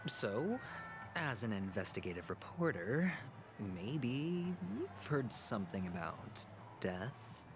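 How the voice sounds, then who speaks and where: A suave, wealthy schemer from a video game. He takes his time, swinging through lively pitch with theatrical, teasing wit. Music plays clearly behind him. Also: slow. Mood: charming, probing. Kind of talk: scripted video game voice acting.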